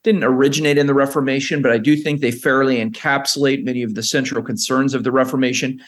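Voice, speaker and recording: A middle-aged man, a seminary president, appearing as a guest on a podcast and explaining ideas in a measured, careful way. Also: medium pitch, fairly even intonation. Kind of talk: podcast interview.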